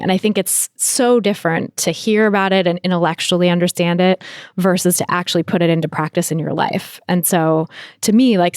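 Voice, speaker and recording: A woman with a fairly low, smooth voice hosts an interview podcast. She talks conversationally and reflectively, in a dry recording with no room sound. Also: dry recording.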